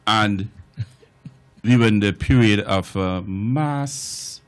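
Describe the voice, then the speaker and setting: An older Bahamian man with a lowish voice talks on a discussion panel. He often trips over repeated words before his point comes out, and he mixes in joking asides and island dialect. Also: takes it slowly, smooth. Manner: stammering restarts, joking asides. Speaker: talk show panelist.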